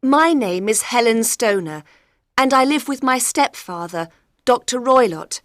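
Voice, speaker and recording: A woman playing a troubled gentlewoman of about thirty in a dramatised audiobook, speaking earnestly and clearly. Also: on the high side, animated, unhurried, dry recording, studio quality. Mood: troubled, explaining. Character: frightened, desperate young gentlewoman of about thirty.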